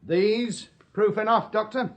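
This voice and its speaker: A Victorian English police detective for a radio play. His voice sits a little high. He is methodical and politely deferential, yet shrewd and insistent. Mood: pressing, accusing. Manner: methodical, deliberate, formally polite. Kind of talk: British radio drama acting.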